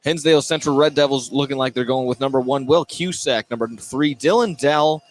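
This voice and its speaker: A man announcing a high school basketball game live on radio in an upbeat, chatty way. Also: fairly quick. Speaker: play-by-play sports announcer. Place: indoor high school gym. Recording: live gym broadcast with clear background noise.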